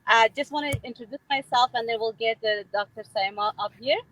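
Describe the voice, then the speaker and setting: A woman's voice: on the high side, a little fast, a bit bright, slight background noise, poor audio. Cheerful woman on a call from a car. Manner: cheerful, laughs easily. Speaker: panel host. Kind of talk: casual webinar panel talk. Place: in a moving car. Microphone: video call.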